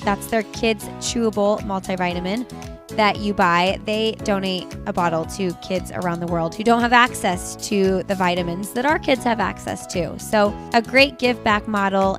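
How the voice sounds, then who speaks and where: An American woman hosting a parenting podcast, reading ads and interviewing in an upbeat, enthusiastic way. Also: fairly high pitch. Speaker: podcast host. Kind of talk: host intro, sponsor read and interview. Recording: music playing behind the voice.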